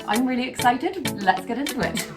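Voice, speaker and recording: Excitable young woman, slightly croaky. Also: on the high side, moderate pace, over music. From United Kingdom. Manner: gushing, excitable, repeats words, breaks into laughs. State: slightly croaky from a late night. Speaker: houseplant content creator. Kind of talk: casual unboxing vlog.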